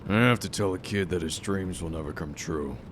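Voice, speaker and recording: A man's voice: deep voice, brisk, slight background noise, close to an everyday voice. A man playing a reserved, formal, compassionate school official in an audio drama, speaking seriously. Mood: somber.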